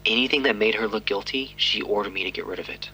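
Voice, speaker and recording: An actor playing a guilt-ridden police detective giving a grave, terse confession in a crime audio drama. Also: male voice, lowish voice, quick pace, bright, forceful tone, slight background noise, low-quality recording. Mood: ashamed confession. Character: guilt-ridden, weary but determined male police detective.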